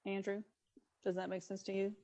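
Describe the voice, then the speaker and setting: A woman's voice: medium pitch, completely flat delivery, fairly quick, warm tone. A woman chairing a public meeting, talking informally and tentatively as she looks for agreement. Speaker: commission chair. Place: online public meeting call. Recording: faintly noisy online meeting audio.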